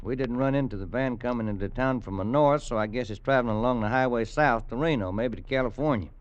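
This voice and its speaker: Guy voicing a tough cop, blunt and brisk, dry dull old radio sound. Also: medium pitch, no room sound, close to an everyday voice. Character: tough, capable male state trooper. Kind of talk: 1950s radio drama acting.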